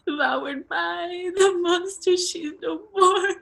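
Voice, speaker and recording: A woman's voice: extremely high pitch, vocal fry, fairly bright tone, exaggerated voice. An actress playing a gaunt, haunted young woman, broken and delirious with grief, in a mournful, fragmented dramatic play reading. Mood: eerie lament. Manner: slow, fragmented, mournful delivery.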